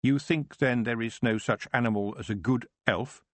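A man voicing a young witch who speaks firmly and in a measured, commanding way. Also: medium pitch, dry recording, natural voice. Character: young witch girl Tiffany, firm and sharp-minded.

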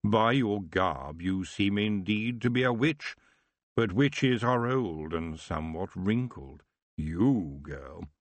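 A man's voice: on the low side, lively intonation, slow, no room sound, full character voice. A man voicing a lazy, lecherous, seductive elf king for an audiobook, drawling and condescending. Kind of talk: audiobook character voice.

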